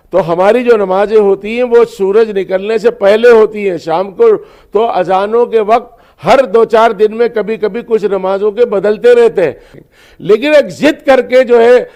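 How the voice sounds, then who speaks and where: High-pitched man explaining his position. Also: darkish tone. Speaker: religious organisation spokesman. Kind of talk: spoken interview statement.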